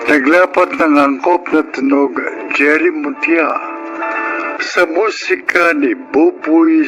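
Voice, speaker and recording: Male radio drama announcer, fairly high voice, formal scripted delivery, very unhurried, music bed. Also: rough recording. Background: music under the voice.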